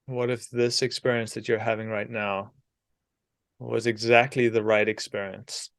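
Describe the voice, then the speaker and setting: A man leading a guided meditation in a soothing, unhurried manner, leaving long pauses between short phrases. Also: mid-range voice, flat delivery, creaky, a bit bright, no room sound, clean studio sound.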